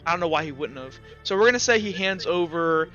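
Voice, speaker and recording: Halting man narrating, bright high-set voice. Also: animated, highs cut off. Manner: halting, repeats words while searching for description. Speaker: game master. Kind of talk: improvised tabletop game narration. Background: music.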